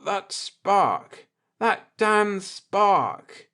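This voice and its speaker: A man's voice: high-pitched, very animated, extremely slow, bright, forceful tone, pitched above the performer's own voice, exaggerated voice. A man puts on an injured space villain for a sci-fi audiobook. The voice is thick and groaning, pushed up above normal talk, with a bitter edge. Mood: anguished, frustrated. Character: injured, bitter male murderer and petty space officer.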